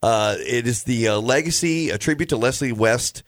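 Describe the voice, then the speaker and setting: A man's voice: mid-range voice, normal speed, no echo, clean studio sound. A man hosting a morning rock radio show, enthusiastic and full of hype as he interviews his co-host. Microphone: studio microphone.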